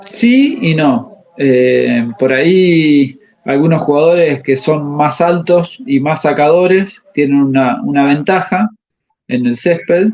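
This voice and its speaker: A man, a tennis coach answering interview questions and pausing often to find his words. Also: fairly high pitch, expressive, a little mellow. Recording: slightly muffled audio.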